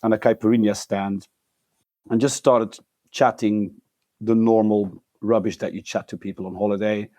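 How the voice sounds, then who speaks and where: A man with a Flemish accent and a lowish voice, recorded dry and close. He is a podcast guest who chats and tells stories casually, with a jokey, self-deprecating touch. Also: fairly even intonation, moderate pace, warm tone, no room sound. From Flanders, Belgium. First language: Flemish Dutch. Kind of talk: casual anecdotal storytelling.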